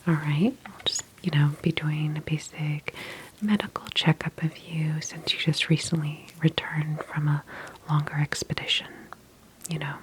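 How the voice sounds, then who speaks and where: A woman doing a soft-spoken ASMR roleplay as a calm healthcare specialist, whispering gently and attentively in a breathy, unhurried, reassuring professional way. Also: low-pitched, background noise.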